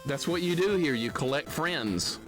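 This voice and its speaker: A man's voice: a little high, expressive, normal speed. A man giving casual, grumbling and sarcastic commentary while streaming a video game. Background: game music.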